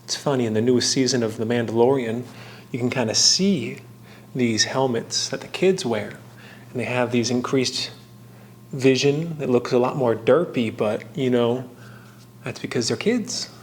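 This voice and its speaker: A man with a mid-pitched voice hosts a hobby craft video. He talks through the steps informally, in short halting phrases with jokey asides and bits of trivia. Clear ambient noise sits behind his voice. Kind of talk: casual tutorial narration with fun facts.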